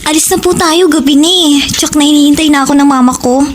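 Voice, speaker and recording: A woman's voice: high voice, quick pace, slight background noise, close to an everyday voice. A woman playing a polite, chatty twelve-year-old schoolgirl in an audio drama. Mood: polite, innocent. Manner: polite, childlike, colloquial.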